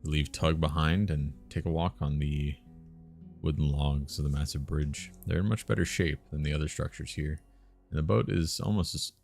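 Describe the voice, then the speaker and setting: A man's voice: very deep voice, creaky, darkish tone. A man reading interactive fiction aloud on a live stream, narrating in a storytelling way.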